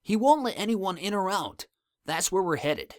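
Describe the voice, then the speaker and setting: A woman voicing a casual, expressive teenager in an animated-style comic dub. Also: mid-range voice, moderate pace, dry recording, clean studio sound, natural voice. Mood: serious.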